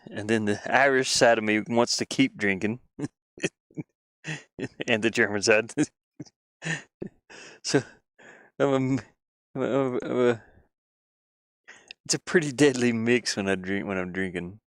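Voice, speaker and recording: A man's voice: mid-range voice, slow, pauses often, fairly bright tone, no room sound, clean studio sound. A man from the United States chatting casually on a live game stream, rambling and muttering to himself as he works.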